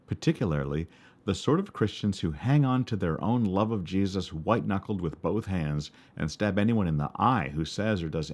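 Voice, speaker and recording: A man with a baritone voice, narrating a memoir for his podcast in a wry, reflective way. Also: a little mellow. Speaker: podcast host.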